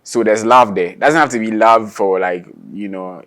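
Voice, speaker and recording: Young man, low-voiced interview guest. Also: normal speed. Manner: relaxed, laughs often, restarts sentences. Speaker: singer and guitarist guest. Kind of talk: casual interview chat.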